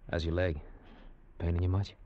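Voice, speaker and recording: A gruff field soldier, played by a man, with an extremely deep voice. He is laconic and wry in vintage radio drama style. The recording is dull and old. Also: level tone, normal speed, lightly acted. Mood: wry, subdued. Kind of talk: 1940s radio drama acting.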